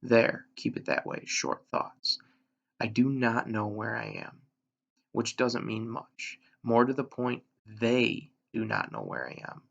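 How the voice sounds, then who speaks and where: A man voicing a stranded thirteen-year-old boy in an adventure novel, talking anxiously to himself in halting, emphatic phrases. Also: medium pitch, expressive, creaky, a bit bright, dull audio, full character voice. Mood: anxious reasoning. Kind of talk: read-aloud of an adventure novel.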